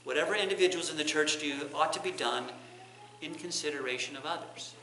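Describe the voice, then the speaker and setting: Middle aged guy preaching a bible lesson, kinda high voice, roomy echo. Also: a little fast, pushed and bright. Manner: explanatory, repeats and rephrases points. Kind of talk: teaching sermon. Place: church sanctuary, indoors. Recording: echoey church room, clear background noise.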